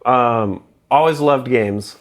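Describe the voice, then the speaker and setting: A man's voice: medium pitch, takes it slowly. A man in his forties tells stories in a loose, rambling way. He mocks himself, laughs easily, and sounds like he is chatting casually as an interview guest. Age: 40s. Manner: rambling, self-deprecating, laughing.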